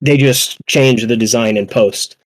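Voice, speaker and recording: A man's voice: medium pitch, fairly even intonation, a little slow. A man chatting casually and enthusiastically as a fan, rambling, repeating himself and swearing freely. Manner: rambling, profane, repeats phrases. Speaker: podcast guest or panelist.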